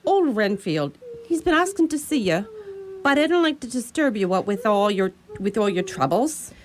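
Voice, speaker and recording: A woman's voice: medium pitch, big pitch swings, moderate pace, a little hiss or hum, natural voice. A woman playing a kindly, hesitant asylum attendant in a radio drama. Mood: awkward, deferential. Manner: colloquial, folksy, hesitant.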